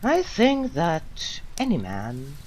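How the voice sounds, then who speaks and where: A woman playing a condemned old philosopher, defiant and serene, delivering a solemn, deliberate theatrical monologue to judges with long pauses. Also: deep voice, huge pitch swings, exaggerated voice. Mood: calm, philosophical. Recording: amateur recording with loud background noise.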